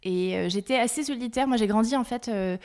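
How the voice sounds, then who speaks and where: A woman's voice: a little high, brisk, a little mellow. A French woman, founder of a cosmetics brand, telling her story in a candid, rambling, self-mocking way. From France. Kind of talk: casual interview chat.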